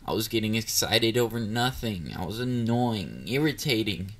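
A man playing a shy, clingy, excitable young catboy boyfriend in an intimate romantic audio roleplay, hesitant and easily flustered. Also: fairly low pitch, moderate pace. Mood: confessional. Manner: hesitant, vulnerable, flustered, with stammers.

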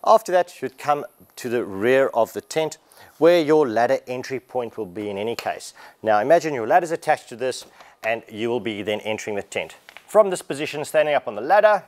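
Guy, 30s, relaxed explainer for a gear walkthrough in a warehouse. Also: mid-range voice, a little fast. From Australia. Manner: casual, explanatory, lively. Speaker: product presenter. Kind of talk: product walkthrough demo. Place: large warehouse showroom indoors.

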